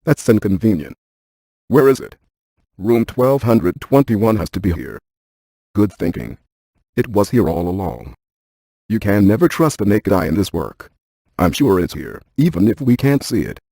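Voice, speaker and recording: An actor playing a brainy, cautious paranormal scientist in a video game, speaking in a deadpan, precise, technical manner. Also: male voice, on the low side, normal speed, vocal fry, long pauses, breathy edge, dry recording, full character voice. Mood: dry, focused. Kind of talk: scripted video game character lines.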